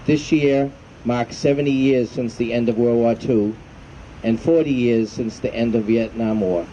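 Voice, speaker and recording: Male ceremonial speaker, solemn measured delivery, very mellow dark tone, dull outdoor recording. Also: medium pitch, fairly even intonation. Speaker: veterans' group commander. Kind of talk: formal prepared ceremonial speech. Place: outdoor evening ceremony in a park. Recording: muffled, faint outdoor hum and traffic noise.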